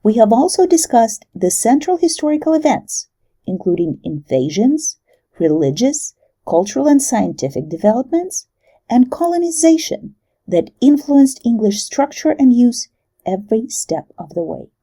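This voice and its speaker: Woman lecturer, lively measured delivery, dark gravelly tone. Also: fairly high pitch. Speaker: university lecturer. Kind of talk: recorded lecture narration.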